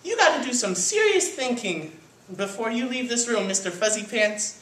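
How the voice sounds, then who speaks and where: A reader playing a high-pitched scolding mother cat. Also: big pitch swings, moderate pace, fairly bright tone, far from the mic. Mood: stern lecturing. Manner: emphatic lecturing. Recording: roomy echo, background noise.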